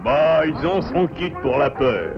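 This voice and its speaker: A man's voice: medium pitch, lively intonation, takes it slowly. A man voicing a responsible, grave and decisive father beaver in a French cartoon. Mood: relieved. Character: responsible, steadfast father beaver, adult male. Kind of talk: French animated cartoon acting. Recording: dull soundtrack with loud music.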